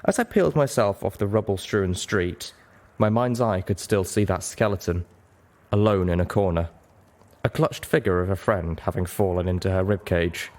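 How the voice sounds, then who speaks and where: A man narrates a story in a low-pitched voice. His delivery is expressive, in the manner of an audiobook reader. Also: moderate pace.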